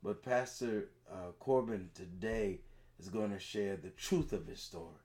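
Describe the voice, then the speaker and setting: A man hosting and introducing a guest in a preacherly style, deliberate and emphatic, repeating phrases for weight. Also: fairly low pitch, darkish tone.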